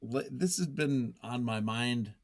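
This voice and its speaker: A man's voice: medium pitch, slow, vocal fry, a little mellow. A man talking casually and reflectively as an interview guest, hesitant and self-effacing as he thinks aloud. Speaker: podcast guest, nonfiction writer.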